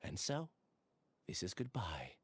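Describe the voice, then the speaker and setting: A man playing an earnest, resigned young researcher in an anime game, speaking in a measured, formal, confessional way. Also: low voice, very animated, slight background noise, exaggerated voice. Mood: resigned, resolute.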